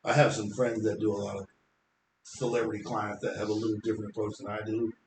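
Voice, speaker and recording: A man with a deep voice talks at ease, confident and explaining at length, in an interview chat. The sound is roomy with echo off the walls, and a faint ambient hum sits underneath. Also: level tone, fast talker. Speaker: hairstylist guest.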